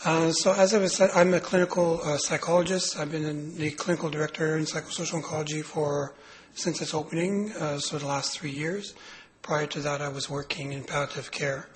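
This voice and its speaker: Guy lecturing with a cold, hoarse and raspy, lots of ums, kinda high voice. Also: steady tone, a little fast, rough recording. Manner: hesitant, many fillers and restarts. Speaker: clinical psychologist giving a lecture. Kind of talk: medical lecture.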